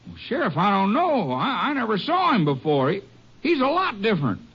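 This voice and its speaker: A jittery hotel clerk in an old radio drama, his high voice swinging up and down as he stammers out folksy answers. The broadcast has a muffled, dull sound. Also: huge pitch swings, brisk, breathy edge, heavily acted. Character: nervous folksy small-town hotel desk clerk man. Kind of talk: 1950s radio drama acting.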